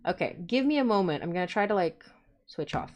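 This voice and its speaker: Woman rambling casually, slightly low voice. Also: lively intonation, fast, a little mellow. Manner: informal, rambling, self-interrupting, chatty. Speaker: podcast host and creator. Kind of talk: casual livestream chat.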